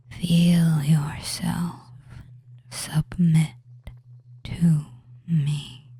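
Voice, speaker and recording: A possessive, doting witch, acted out by a performer in a hypnosis audio roleplay. The voice is a soft, breathy whisper, low and mellow. It moves very slowly, lulling and controlling, with deliberate pauses. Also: full character voice. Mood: hypnotic, commanding.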